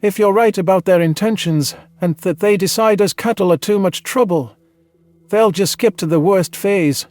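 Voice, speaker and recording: A man reading a dramatized science fiction story in the first person, earnest and measured with a dramatic delivery. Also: high voice, a little mellow, no room sound. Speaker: audiobook narrator.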